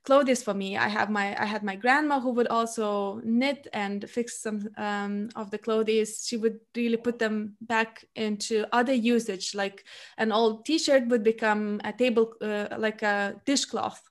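A woman's voice: on the high side, fairly even intonation, unhurried. A young woman hosting a podcast interview, talking casually and stopping often for filler sounds. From Lithuania. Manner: hesitant, many filler sounds. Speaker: podcast host.

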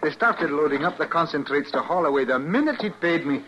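A gleeful, sly frontier storekeeper with a high-pitched voice. He is chatty and wry in radio western style, with faint noise on the old broadcast. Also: lively intonation, brisk, fairly bright tone, lo-fi sound. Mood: sly, pleased.

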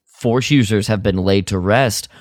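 A man co-hosting a casual podcast discussion, talking in a halting way as he thinks aloud. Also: lowish voice, dry recording, clean studio sound. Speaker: podcast co-host.

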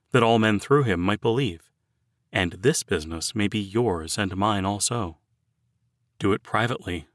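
A man narrating a written devotional sermon in a measured, earnest, formal oratorical manner. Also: medium pitch, dry recording, clean studio sound. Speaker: audiobook narrator reading a sermon. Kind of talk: scripted devotional sermon reading.

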